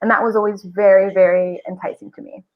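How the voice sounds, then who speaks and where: A woman's voice: medium pitch, flat delivery, fast talker. Dull-sounding recording of a talkative woman guest. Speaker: guest, voice actor. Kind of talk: casual podcast interview.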